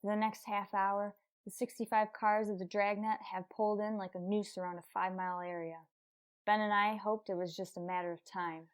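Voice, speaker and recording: Policière coriace au ton sec jouée par une femme. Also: female voice, mid-range voice, level tone, a little fast, smooth, close to an everyday voice. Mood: grim narration. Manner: terse, hard-boiled. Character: tough, dry female police detective. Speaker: police detective and narrator. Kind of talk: radio crime drama acting.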